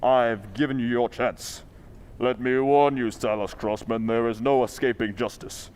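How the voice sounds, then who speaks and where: A man plays an unseen crime-fighting avenger in a radio play. He speaks in a medium-pitched voice, commanding and ominous, like a stern accuser. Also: steady tone, measured pace, a little mellow, clean tone, close to an everyday voice. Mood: stern warning. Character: invisible male avenger, stern, knowing, accusing. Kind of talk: old-time radio drama acting.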